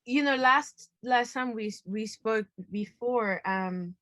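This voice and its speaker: A woman's voice: on the high side, slow, bright, forceful tone, dry recording. A woman in her twenties from the Democratic Republic of Congo, talking earnestly and passionately as a guest on a video call from home. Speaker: guest filmmaker. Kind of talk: casual discussion. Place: home living room. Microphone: video call laptop camera.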